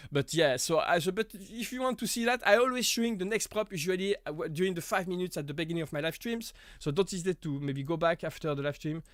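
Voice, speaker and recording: Male streamer, higher register, chatty and halting, a bit under the weather. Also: fairly bright tone, dry recording, clean studio sound. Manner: chatty, halting, with frequent small laughs and "you know". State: voice deepened while getting over an illness. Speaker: live stream host answering viewer questions. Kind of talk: casual live Q&A chat.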